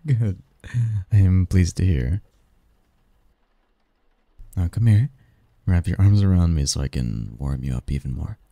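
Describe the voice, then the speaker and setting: A man performs a seductive male sleep demon in an intimate ASMR roleplay, low and very mellow. He speaks slowly and softly, with teasing, possessive devotion and formal, flirtatious phrasing. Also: vocal fry, no room sound. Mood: affectionate. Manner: flirtatious, formal wording, soft intimate delivery, chuckling.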